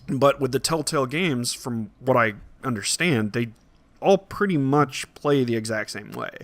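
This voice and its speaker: A man's voice: mid-range voice, animated, a little slow, no room sound. A man in a casual, opinionated podcast discussion, explaining his points piece by piece as a co-host.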